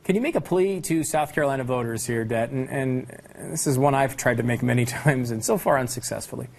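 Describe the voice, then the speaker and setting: A man's voice: medium pitch, fairly quick, creaky. American guy hosting political talk, mid voice, casual and opinionated. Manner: informal, opinionated. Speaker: talk show host.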